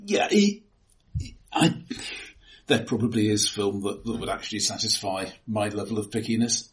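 A man's voice: fairly low pitch, lively intonation, normal speed. A man co-hosting a casual, analytical film discussion, precise and a little pedantic, often repeating words as he forms a point. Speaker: podcast co-host.

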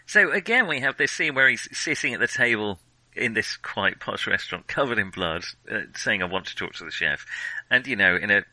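Bright, forceful man chatting about film. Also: on the low side, animated, fairly quick, dry recording, poor audio. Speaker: film podcast co-host. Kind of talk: casual film discussion.

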